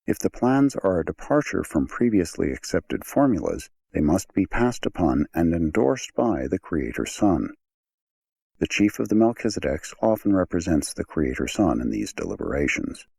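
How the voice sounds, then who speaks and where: A man reading an audiobook as a scripted narration, in a measured, formal manner. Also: low-pitched, big pitch swings, gravelly, a little mellow.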